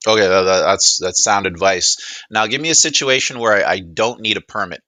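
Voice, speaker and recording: A man with a bright, forceful voice, pitched a little low, recorded close and dry. He speaks casually as a demo presenter, feeling his way through questions in halting phrases. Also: dry recording. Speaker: presenter demonstrating a voice assistant. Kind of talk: casual spoken product demo.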